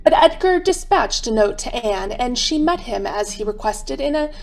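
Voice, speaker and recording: A woman's voice: on the high side, animated, normal speed, vocal fry. A woman narrating a scripted radio drama as its storyteller.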